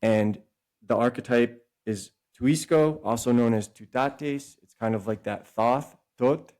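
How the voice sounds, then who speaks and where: A man's voice: mid-range voice, unhurried, darkish tone. A man hosting a podcast, eagerly explaining at length with many asides and self-corrections. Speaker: podcast host and author. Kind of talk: casual podcast conversation.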